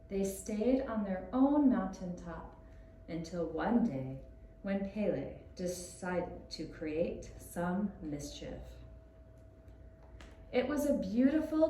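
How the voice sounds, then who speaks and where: A woman telling a scripted story for young children in a gentle, deliberate manner. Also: mid-range voice. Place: echoey room, puppet show performance. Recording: roomy echoing sound with faint hiss.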